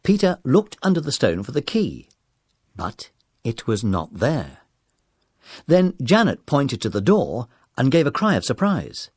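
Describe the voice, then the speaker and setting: A man's voice: medium pitch, huge pitch swings, measured pace, darkish tone, breathy edge, no echo, studio quality. A man reading scripted narration for a children's audiobook drama.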